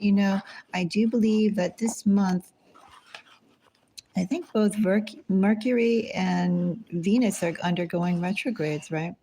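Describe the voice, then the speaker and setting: A woman's voice: medium pitch, mellow, a little hiss or hum, rough recording. A woman giving a casual tarot reading, rambling and thinking aloud, asking herself small questions as she goes. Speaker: tarot reader. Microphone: phone.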